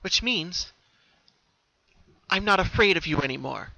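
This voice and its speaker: Man putting on a high, brave young girl pony. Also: lively intonation, measured pace, creaky, pushed and bright, dry recording, exaggerated voice. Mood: calm, defiant. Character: brave, cheerful young female pony, gentle and resolute. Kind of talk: dramatic fan-fiction reading. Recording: dull lo-fi recording, background noise.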